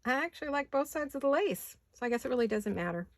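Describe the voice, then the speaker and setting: A woman with a raspy voice from allergies, chatting casually to viewers as she crafts in her home studio, often talking to herself and slipping into whispers. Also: sits high, lively intonation, quick pace, no room sound. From California. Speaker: craft vlogger. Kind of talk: casual craft chat while working.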